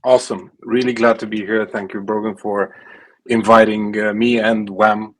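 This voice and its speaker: A man's voice: lowish voice, flat delivery, normal speed, rough recording. A man in his late thirties from Romania with a Romanian accent, a startup founder answering interview questions with many fillers and restarts. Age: late 30s. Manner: many fillers and restarts, fluent non-native English. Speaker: guest, gaming startup founder.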